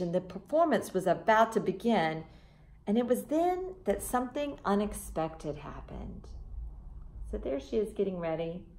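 A woman in her thirties reads a picture book to young children at a middle pitch. Her storytelling is expressive and teacherly, with simple explanations added along the way. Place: front room of a house, indoors.

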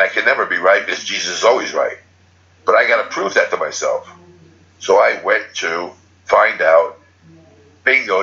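A man's voice: sits low, pushed and bright, muffled recording. A man giving an unscripted spiritual talk as a teacher, rambling and correcting himself as he goes. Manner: rambling, restarts and self-corrections.

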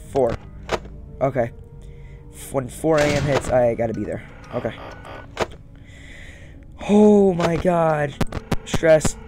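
A young man doing casual gameplay commentary, repeating short phrases to himself as he plays. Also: mid-range voice. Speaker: gaming video host. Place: small room at home, indoors. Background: game music.

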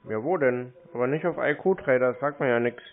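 A man's voice: medium pitch, fairly quick. A man chatting casually and laconically, with blunt, offhand answers. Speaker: scam baiter taking calls. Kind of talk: casual phone conversation. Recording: muffled tinny phone-like audio.

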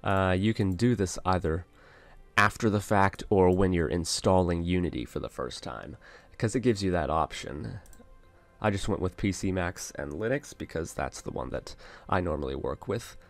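A man narrating a casual software tutorial, explaining things step by step as an instructor. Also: low voice, normal speed, vocal fry, no room sound.

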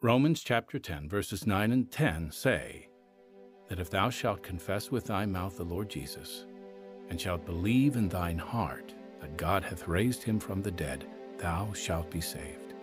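An actor playing a gentle, earnest pastor in a radio drama, patient and solemn as he counsels, with a husky voice. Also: sits low, animated, unhurried, music in the background. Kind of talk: audio drama acting, reading scripture aloud.

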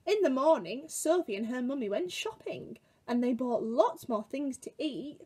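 Very animated British woman reading to children. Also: high-pitched, normal speed, breathy edge. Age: 20s. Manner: expressive and exaggerated, addressing young children. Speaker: children's museum worker reading a story to children. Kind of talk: animated children's picture-book storytelling. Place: small room at home, indoors.

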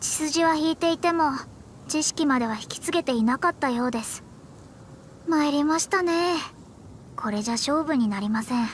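A woman's voice: sits high, normal speed, slight background noise, close to an everyday voice. A woman voicing a proud young astrologer mage in an anime game, speaking politely and precisely with a slightly haughty air. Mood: composed, formal. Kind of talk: Japanese anime game voice acting. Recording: game music behind the voice.

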